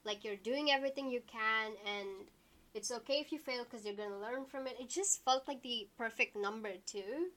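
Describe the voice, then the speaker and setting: Chatty young woman in her twenties, slightly high. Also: a bit bright. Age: 20s. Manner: chatty, many fillers, quick asides. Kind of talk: casual chat.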